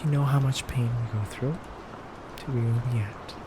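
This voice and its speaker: A man playing a stern but courteous femboy vampire interrogator in a soft, deliberate ASMR roleplay, often near a whisper. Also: mid-range voice, steady tone, background noise, lightly acted. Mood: probing, solemn.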